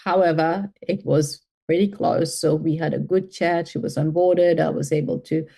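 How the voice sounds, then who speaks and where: Low-voiced woman from Mauritius, thoughtful. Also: fairly even intonation, normal speed, gravelly, warm tone, breathy edge. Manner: thoughtful, with many fillers and restarts. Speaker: guest, health tech CEO. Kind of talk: interview answers.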